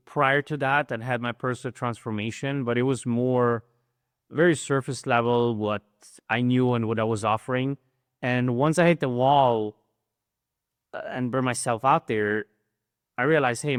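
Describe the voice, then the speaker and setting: A man's voice: medium pitch, clean tone, dry recording, studio quality. A middle-aged man from Switzerland, a coach speaking as a guest in a casual interview, earnest and fluent, often tagging "right?".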